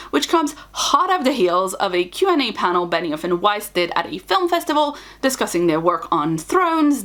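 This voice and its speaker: A woman's voice: high-pitched, fairly bright tone. A woman hosting a scripted news round-up for online viewers, speaking with enthusiasm and the odd wry aside. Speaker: host of a book and genre news show.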